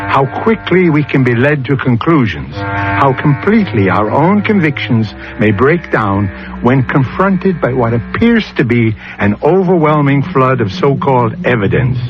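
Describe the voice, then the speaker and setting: Male radio mystery host, very slow theatrical delivery, swooping intonation, dull old broadcast recording. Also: mid-range voice. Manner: deliberate, dramatic, philosophical. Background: prominent music.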